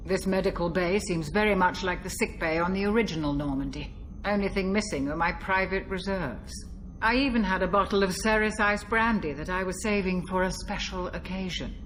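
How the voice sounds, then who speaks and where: Casting: actress as veteran military doctor, gracious and formal, slightly low female voice, game dialogue with faint ambience. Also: fairly bright tone, slight background noise, close to an everyday voice, rough recording. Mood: courteous. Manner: courteous, measured, slightly formal. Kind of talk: video game cutscene voice acting.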